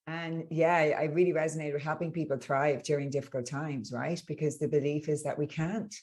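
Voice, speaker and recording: A woman's voice: low-pitched, fairly even intonation, fairly quick, darkish tone. A woman in her forties with an Irish accent from Dublin, an earnest, upbeat coach talking as a podcast guest. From Ireland. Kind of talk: conversational interview.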